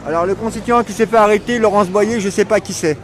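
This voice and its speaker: A man's voice: high-pitched, fast talker, some chatter behind. Man interviewing at a noisy street protest. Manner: supportive, hesitant, restarts a lot. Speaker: livestream reporter. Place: outdoor street protest in a city.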